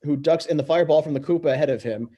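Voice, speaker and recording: A man reading stage directions aloud in an amateur script read-through, halting and tripping over words. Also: a little high, mellow, dull audio.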